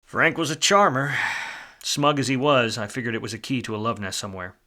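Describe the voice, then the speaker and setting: An actor playing a weary, wisecracking 1940s private detective in a film noir radio play, with a wry, slangy manner. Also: male voice, medium pitch, a little fast, sharp tone, natural voice. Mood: wry, self-mocking. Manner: wry, hard-boiled, slangy.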